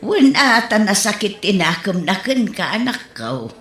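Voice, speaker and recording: Woman acting slow-talking, consoling mother, Ilocano accent. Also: mid-range voice, sing-song, a bit bright. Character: patient, consoling mother of grown daughters. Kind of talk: Ilocano comedy-drama acting. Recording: roomy echo.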